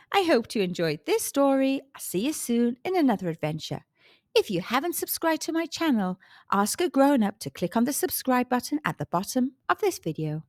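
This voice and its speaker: Female children's storytime narrator: high voice, very animated, unhurried, expressive read-aloud. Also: dry recording, clean studio sound.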